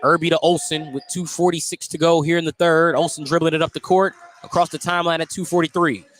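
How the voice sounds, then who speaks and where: Male sports play-by-play announcer, voice a bit on the high side, live from a gym, clipped and detailed. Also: level tone, quick pace, a bit bright, a little hiss or hum. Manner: detailed, clipped running call of each play. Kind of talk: live radio sports commentary. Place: indoor high school gymnasium.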